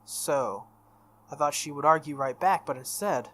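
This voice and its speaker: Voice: mid-range voice, unhurried, fairly bright tone. A video game playthrough host reading visual novel text aloud with casual reactions, stumbling over and repeating words. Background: game music.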